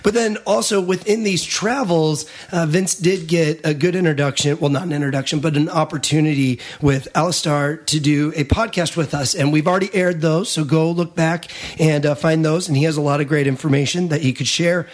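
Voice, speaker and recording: Hoarse male podcast host, fairly high voice, friendly and brisk. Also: steady tone. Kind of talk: casual podcast chat.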